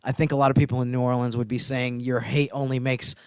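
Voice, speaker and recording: A man's voice: mid-range voice, level tone, fast, clean tone, no echo, studio quality. A man co-hosting a sports podcast, talking in an earnest, easygoing way. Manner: earnest, easygoing, says "you know" a lot. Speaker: team reporter, podcast co-host. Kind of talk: casual sports podcast chat.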